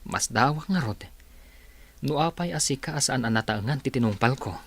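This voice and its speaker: Male patient, played by a man: mid-pitched, earnest, conversational radio drama delivery, humble and reflective. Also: lively intonation, moderate pace, slightly rough. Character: sick employer and grandson, humble and reflective. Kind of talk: Ilocano radio drama acting.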